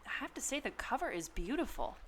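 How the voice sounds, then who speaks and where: A woman playing a sensible, curious adventurer with expressive delivery in a radio comedy. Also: high voice, fairly quick. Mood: amazed. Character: sensible, curious young-spirited female adventurer Annie. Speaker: podcast host acting in audio drama. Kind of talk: amateur radio comedy acting. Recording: noticeable ambient background noise.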